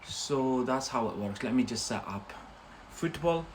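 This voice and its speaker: A man's voice: mid-range voice, expressive, measured pace. Male host, Algerian accent with a Scottish touch, non-native English. Chatty and loose, full of fillers. Desk mic with faint noise. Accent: Algerian, with a Scottish influence. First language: not English. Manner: chatty, rambling, with many fillers and tag questions. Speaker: English teacher and livestream host. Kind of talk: casual livestream lesson.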